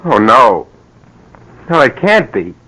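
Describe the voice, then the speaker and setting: An actor playing an anxious, devoted husband in his thirties in a 1940s radio drama, earnest and urgent, sometimes halting. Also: medium pitch, big pitch swings, pushed and bright, husky, exaggerated voice, rough recording. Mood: desperate, urgent. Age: 30s. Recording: old radio drama broadcast.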